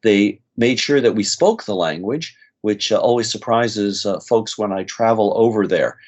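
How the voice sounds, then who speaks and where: A middle-aged man with a slight American accent, a nurse practitioner guest telling stories in a relaxed interview with frequent "uh" fillers. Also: fairly low pitch, normal speed, muffled recording. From United States. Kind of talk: conversational interview storytelling.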